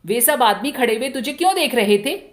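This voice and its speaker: A jealous, possessive village husband from a storybook, voiced by a woman narrator. The questions come out agitated and accusing, in a fairly high voice, brooding with suspicion. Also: lively intonation, fairly quick, natural voice. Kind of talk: dramatic Hindi short story reading.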